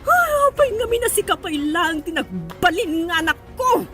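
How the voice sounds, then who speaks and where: Radio drama mother played by a woman: Ilocano accent, harsh and overwrought, very high, swinging pitch, music bed. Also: sing-song, normal speed. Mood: angry, scolding. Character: harsh, scolding mother of a schoolgirl, later frantic with worry. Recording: music under the voice.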